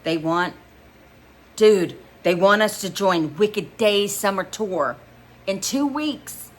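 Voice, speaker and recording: A woman voicing an excited, brash young male rock musician who swears and exclaims loudly in bro slang. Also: mid-range voice, slow, voice pushed up. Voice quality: loud, exclaiming.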